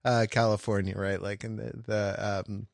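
Man musing haltingly, close and dry. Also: lowish voice, little pitch movement, creaky, no room sound. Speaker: podcast host. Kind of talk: casual panel discussion.

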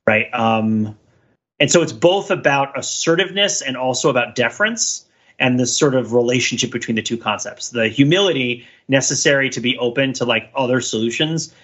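Man, podcast co-host, forceful bright tone, husky edge, wordy analytical chat. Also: medium pitch, fairly quick. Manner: wordy, thinking aloud in long qualified sentences. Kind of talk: casual analytical chat about television. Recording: dull, band-limited sound.

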